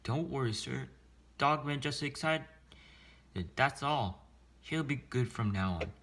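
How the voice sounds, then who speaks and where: A man voicing a gentle, loyal police chief in a children's storybook read-aloud, soothing and apologetic. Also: medium pitch, animated, slow pace, pitched above the performer's own voice. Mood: pleading.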